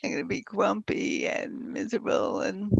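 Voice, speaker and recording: Woman with a fading whispery voice, really gravelly and husky, talks slow and halting. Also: mid-range voice, expressive, no echo, highs cut off. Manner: slow, halting, breathy with long pauses. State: voice weakening, often whispered. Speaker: host of a conversation series. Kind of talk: candid group conversation.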